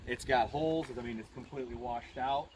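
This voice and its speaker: A man in his early forties with a Midwestern American accent, chatting casually and reminiscing. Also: a little fast. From small-town Iowa, United States. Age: early 40s. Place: outdoors at an old rural bridge. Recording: noisy outdoor recording with ambient rumble.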